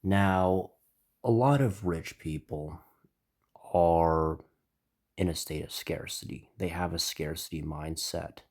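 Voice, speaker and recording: A man hosting his own channel, speaking with a stuffy, slightly nasal voice in a slow, reflective spiritual monologue. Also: sits low, a little mellow. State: stuffy, congested. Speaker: channel host.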